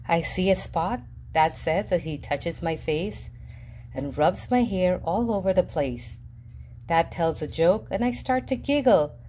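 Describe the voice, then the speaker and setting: A woman reading a children's picture book aloud in a lively, sing-song storybook voice with playful jumps in pitch. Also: slight background noise. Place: indoors at a table, reading an open book. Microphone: camera above the book, reader unseen.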